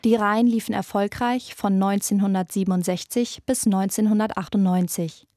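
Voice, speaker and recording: A woman's voice: mid-range voice, steady tone, normal speed, warm tone, dry recording, studio quality. A woman presenting a podcast in scripted documentary narration.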